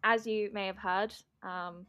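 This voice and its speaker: A woman's voice: mid-range voice, fairly even intonation, a little slow, pushed and bright. A woman hosting a casual book podcast, bubbly and giggly, with many ums. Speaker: podcast host.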